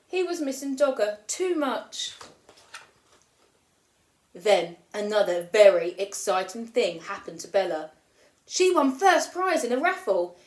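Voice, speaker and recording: Casting: female teacher, storybook read-aloud, a little high-pitched, animated delivery, recorded in an echoey room. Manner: expressive storytelling, lifting her voice for children's lines. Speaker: primary school teacher. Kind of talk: reading a picture book aloud. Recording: roomy echo.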